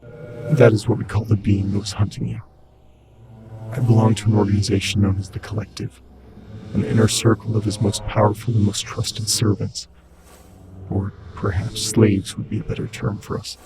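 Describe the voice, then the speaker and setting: An actor in an audio drama playing a disembodied, once-human servant spirit, commanding and impatient, with formal, urgent speech. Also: male voice, flat delivery, normal speed, gravelly. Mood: grave, urgent. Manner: stern, urgent, formal and cryptic. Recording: loud rumbling background noise.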